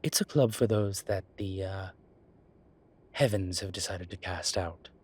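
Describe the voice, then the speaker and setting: A man playing a suave, wry incubus demon professor in an intimate audio roleplay, with a husky voice and hesitant pauses. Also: low voice, a little mellow. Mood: measured explaining.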